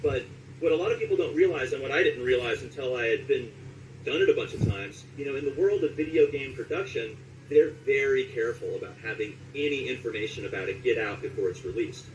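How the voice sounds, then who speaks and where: A man from Southern California talking casually as an interviewed voice actor, telling anecdotes in an animated way and acting out reactions. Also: medium pitch, fast talker, recorded from a distance, slight background noise, poor audio.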